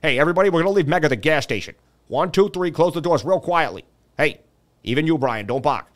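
A man voicing a goofy, dim-witted cartoon dad in a loud, slurry impression. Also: fairly high pitch, expressive, quick pace, close to an everyday voice.

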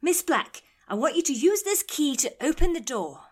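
A woman's voice: sits high, big pitch swings, normal speed. A woman playing a polite, clever, slightly hesitant helper in an audio drama, formal and careful in speech. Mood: guiding.

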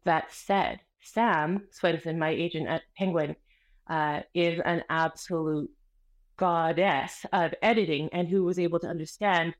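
A woman author speaking as a guest in a casual interview, expressive and energetic as she tells stories. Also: deep voice, measured pace. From Canada. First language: Spanish.

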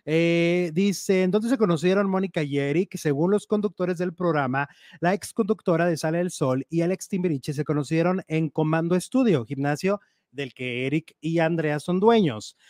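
A man co-hosting a casual gossip show, animated and chatty as he reads out and comments on news items. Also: fairly high pitch, normal speed, no room sound, studio quality.

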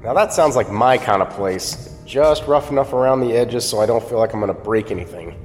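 A man's voice: medium pitch, fairly quick, a little mellow, long reverb tail, faint music behind, natural voice. An actor playing a wry, awestruck man newly arrived in a strange city, in an audio drama with a casual, wisecracking manner. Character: awestruck, wry, rough-edged male newcomer to an undersea city.

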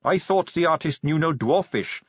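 A man's voice: fairly high pitch, expressive, normal speed, breathy edge, no room sound, muffled recording, pitched above the performer's own voice. A man voicing a commanding dwarf king in an audiobook, curt and authoritative. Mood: questioning.

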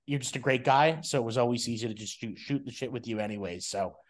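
A man's voice: mid-range voice, fast. A young man hosting a soccer podcast, chatting casually with a guest, with friendly rambling talk full of fillers and restarts. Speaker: podcast host. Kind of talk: casual interview chat.

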